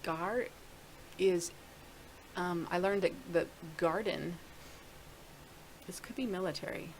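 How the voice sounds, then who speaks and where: Rambling woman with a gravelly voice. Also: lowish voice, slow pace, slight background noise, poor audio. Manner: rambling, thinking aloud with many restarts. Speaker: video blogger. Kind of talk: casual screen-share commentary.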